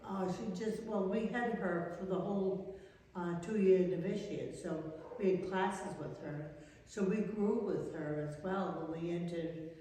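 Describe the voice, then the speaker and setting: An elderly woman in her eighties with a Maine accent, a religious sister reminiscing in an interview in a halting, rambling way. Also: fairly low pitch, warm tone, a little hiss or hum. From Maine, United States. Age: 80s. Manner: halting, rambling recollection with trailing sentences. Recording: echoey reverberant room, distant mic.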